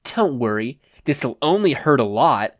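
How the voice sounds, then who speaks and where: A man's voice: medium pitch, big pitch swings, measured pace. A man voicing a cocky, sleazy male gangster with a sneering, taunting delivery. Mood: cocky, menacing.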